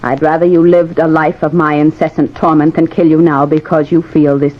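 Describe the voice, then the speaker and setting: A woman's voice: sits low, level tone, normal speed, natural voice. A woman playing a vengeful, possessive ghost bride in an old-time radio horror drama, imperious and taunting. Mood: cruel taunting. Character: vengeful, possessive, haughty female specter bride. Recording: muffled, noisy old radio recording, long reverb.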